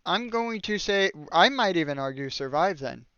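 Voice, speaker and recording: A man's voice: high-pitched, expressive, moderate pace, lo-fi sound, crowd noise. A man hosting a casual, joking group discussion among friends. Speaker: host of a group tier-list discussion.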